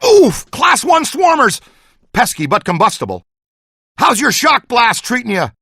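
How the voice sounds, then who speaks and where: An excitable ghost-hunting scientist for a video game. The voice is bright and forceful, with huge swings in pitch. He is eager and exclamatory, rattling off jargon and orders in a dry studio sound. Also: measured pace, slightly rough, dry recording, exaggerated voice. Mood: alarmed, urgent. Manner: animated, exclamatory, jargon-laden. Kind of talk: animated video game voice acting.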